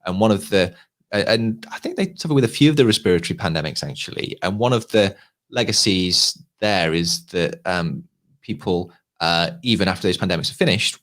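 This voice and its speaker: A man talking casually about general practice on a live panel, halting with fillers and restarts. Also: sits low, fairly bright tone. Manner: hesitant, many fillers and restarts. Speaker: co-host, GP practice lead.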